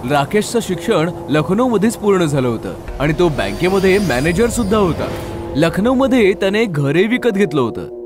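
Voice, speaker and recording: A male storyteller delivers a scripted horror tale dramatically. His voice sits high and moves widely in pitch, with music clearly playing beneath. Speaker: narrator. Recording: clear music under narration.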